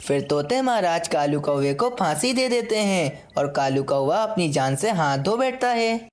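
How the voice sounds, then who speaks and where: Low-voiced woman narrating a children's story. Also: animated, measured pace, a little mellow.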